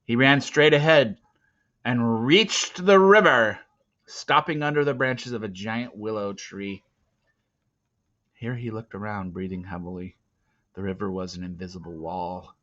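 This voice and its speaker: A man narrating a storybook dramatically, swinging theatrically between hushed and loud delivery. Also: fairly low pitch, measured pace, highs cut off. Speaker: reader of a children's novel.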